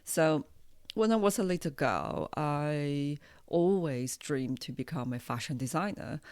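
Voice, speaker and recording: Female podcast host, Taiwanese accent. Slow, thoughtful, pausing delivery in a close, dry sound. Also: low voice, lively intonation, darkish tone, no echo. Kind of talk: unscripted personal podcast monologue.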